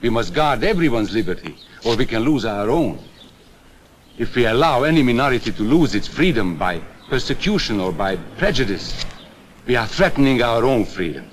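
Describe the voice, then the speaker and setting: An actor playing an earnest American man who speaks deliberately and didactically in the manner of a 1940s public-information film. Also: medium pitch, big pitch swings, a little hiss or hum, close to an everyday voice. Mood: earnest, persuasive. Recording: old 1940s film soundtrack, faint music.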